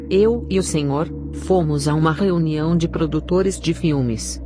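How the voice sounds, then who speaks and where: A woman giving a scripted narration of a religious testimony in a solemn, measured way. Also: low-pitched. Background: background music.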